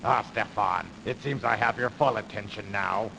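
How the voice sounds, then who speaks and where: A man playing a crooked, persuasive industrialist in a video game, with a gravelly voice and insinuating, theatrical delivery. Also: on the low side, moderate pace. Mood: grandiose, persuasive. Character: crooked, smooth-talking industrialist man, calculating, persuasive schemer. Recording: noisy early CD game audio.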